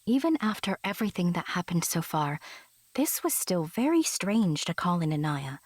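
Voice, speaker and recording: A woman's voice: fairly low pitch, sing-song, moderate pace, dry recording. A woman narrator reading a scripted story for an audio drama.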